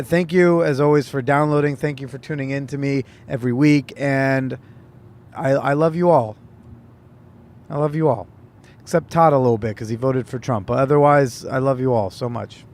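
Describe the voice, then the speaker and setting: A man hosting a podcast, talking casually in a rambling, halting way with many fillers. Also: a little high. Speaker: podcast host; comedian. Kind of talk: casual solo monologue.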